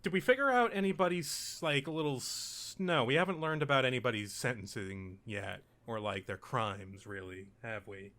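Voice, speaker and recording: A man acting as game master, talking casually and haltingly as he hosts and narrates a tabletop game session. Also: medium pitch, fairly bright tone. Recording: music playing behind the voice. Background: music.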